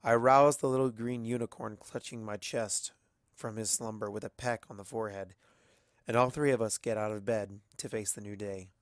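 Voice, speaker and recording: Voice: mid-range voice, fairly even intonation, normal speed, no echo, clean studio sound. An amateur narrator reading a story expressively from a script.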